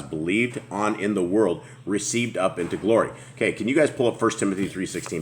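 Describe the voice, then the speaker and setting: Man, very deep booming voice, rambling casual talk, faint background noise. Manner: rambling, restarts often. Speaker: host. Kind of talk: casual Bible study chat.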